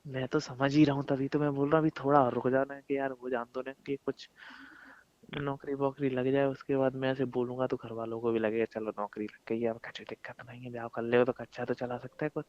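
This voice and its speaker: A man's voice: medium pitch, little pitch movement, fairly quick, no echo. A young man chatting casually and intimately with his partner on a recorded phone call, coaxing and pleading in a colloquial way. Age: young adult. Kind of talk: casual intimate phone chat between lovers.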